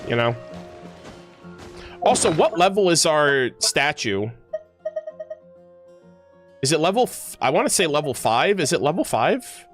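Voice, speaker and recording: Higher-pitched man chatting on a livestream. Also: big pitch swings, a little slow, pauses often, fairly bright tone. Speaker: livestreamer, host. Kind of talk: casual livestream chat. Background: music.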